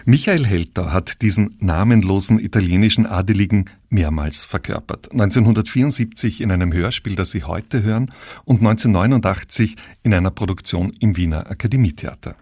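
Low-voiced male radio presenter, dry studio. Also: brisk, a little mellow, no echo. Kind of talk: scripted introduction to a radio play. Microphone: close studio microphone.

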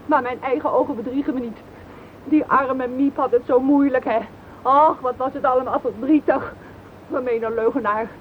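A woman's voice: high-pitched, steady tone, normal speed, lightly acted. A bitter, jealous wife played by an actress lashes out in a radio play. Her Netherlands Dutch speech is scathing, sarcastic and full of accusation. The old recording is tinny, with faint noise. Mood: furious, scornful. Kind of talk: radio drama acting.

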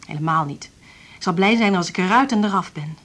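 Casting: grumpy Dutch woman patient, low, husky, sarcastic and impatient, naturalistic radio play. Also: fairly quick, a bit bright. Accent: Dutch (Netherlands). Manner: blunt, sarcastic, grumbling. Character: sullen, blunt pregnant patient, impatient and sardonic. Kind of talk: naturalistic 1980s radio drama acting.

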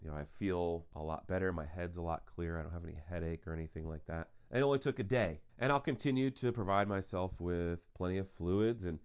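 A man's voice: low voice, clean tone, lo-fi sound. A man hosting his podcast alone, speaking with sinus congestion in an earnest, repetitive explaining manner. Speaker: podcast host. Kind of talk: solo podcast monologue.

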